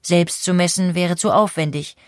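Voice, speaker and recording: Woman, lowish pitch, scripted documentary narration, explanatory, very dry recording. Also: fairly even intonation, moderate pace, no echo.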